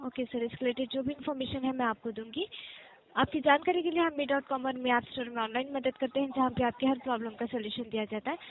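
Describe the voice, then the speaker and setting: A woman's voice: sits high, steady tone, fast talker. A woman working as a customer care agent on a phone call, speaking politely and formally in scripted support phrases and step-by-step instructions. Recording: muffled narrow phone-call line.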